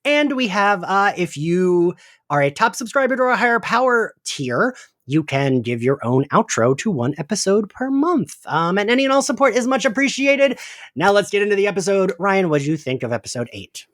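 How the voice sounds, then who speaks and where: A man hosting a podcast in casual chat, with an animated, self-deprecating manner. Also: high-pitched, moderate pace, no echo, clean studio sound. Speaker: podcast host.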